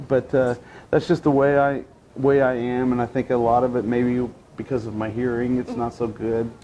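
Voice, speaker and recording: An older man with a loud, booming voice chats as a guest, stopping often for fillers and restarting his sentences. The sound is dull and slightly lo-fi, with a faint hiss behind him. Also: flat delivery, moderate pace. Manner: hesitant, many fillers and restarts. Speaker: retired newspaper reporter, guest. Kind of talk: casual interview chat. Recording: dull lo-fi livestream audio with faint background noise.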